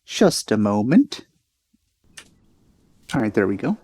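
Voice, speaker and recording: Mellow man doing let's play commentary. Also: lowish voice, very animated, takes it slowly, breathy edge, dry recording, studio quality. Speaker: let's player. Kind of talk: casual off-the-cuff gameplay commentary. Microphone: headset. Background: game music.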